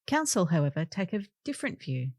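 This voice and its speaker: A woman's voice: lowish voice, very animated, fairly quick, darkish tone, no room sound, studio quality. A woman reading local newspaper articles aloud as scripted narration. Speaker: volunteer newspaper reader.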